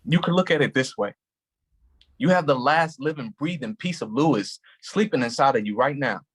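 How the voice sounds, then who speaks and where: An actor as a calm, husky-voiced officer. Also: male voice, medium pitch. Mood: earnest. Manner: calm, measured, gently reflective storytelling. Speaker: actor in a staged play reading. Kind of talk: staged play reading.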